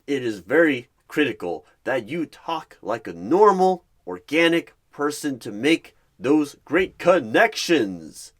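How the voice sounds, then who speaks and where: Man putting on an over-earnest recruiter, lively pitch. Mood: defensive. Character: nervous, defensive, over-earnest male corporate recruiter interviewing.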